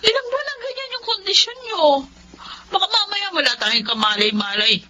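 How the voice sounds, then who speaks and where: A woman's voice: extremely high pitch, expressive, a little fast, pushed and bright, a little hiss or hum, exaggerated voice. A Filipino woman playing a caring grown daughter who scolds out of worry, in a radio drama. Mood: worried, scolding. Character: worried, nagging grown daughter, caring and poor.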